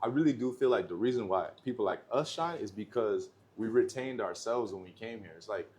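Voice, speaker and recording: A young man with a lowish voice talks like he's hanging out with a friend. He's slangy and loose, full of "like" and "you know," and trails into quick tangents. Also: lively intonation, darkish tone. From Canada. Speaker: rapper guest. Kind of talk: casual podcast chat.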